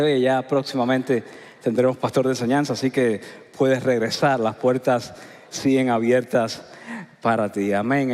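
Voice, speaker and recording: A middle-aged man with a Cuban accent, preaching a sermon in a conversational way with frequent filler pauses. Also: mid-range voice. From Cuba. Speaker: pastor. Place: large church hall. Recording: long hall reverb.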